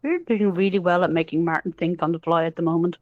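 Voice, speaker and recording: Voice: medium pitch, fairly even intonation, fast talker, narrow, tinny audio, slight background noise. A player at a tabletop game who chats casually and jokes.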